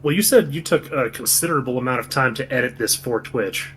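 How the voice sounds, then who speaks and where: A man's voice: medium pitch, fairly quick, fairly bright tone. A man co-hosting a film commentary over a voice call, joking and riffing casually. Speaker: co-host on a film commentary stream. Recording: distant, noisy voice-call microphone.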